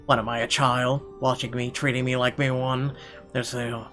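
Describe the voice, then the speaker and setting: A man narrates a horror visual novel in the first person as he plays. His medium-pitched voice is dramatic and expressive, with faint music running underneath. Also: normal speed. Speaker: let's player reading game narration.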